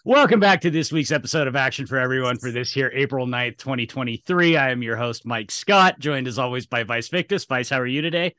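A man's voice: mid-range voice, animated, brisk, hardly any pauses, sharp tone, no room sound, studio quality. A man hosting a casual podcast, introducing and questioning the others in a chatty way. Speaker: podcast host.

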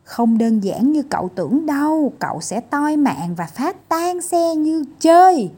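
Giọng nữ đóng chú tí hon lái xe cẩn trọng. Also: female voice, sits high, very animated, a little slow, dark tone, pitched above the performer's own voice. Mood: cautioning. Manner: firm, admonishing. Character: cautious little gnome driver, male, sensible and warning.

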